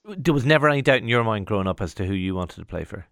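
A male radio sports presenter running a live interview, asking brisk, leading questions. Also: lowish voice, animated, a bit bright, no room sound, clean studio sound.

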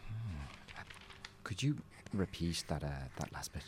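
A man's voice: low voice, lively intonation, takes it slowly, slight background noise. A man playing an awkward, nervous radio contestant who rambles hesitantly in an audio drama. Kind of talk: naturalistic audio drama acting.

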